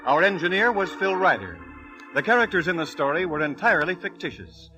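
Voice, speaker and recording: An American male announcer delivers scripted credits in vintage 1940s radio style. His voice is fairly high for a man, with clear organ music playing behind him. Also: very animated, fairly quick, sharp tone.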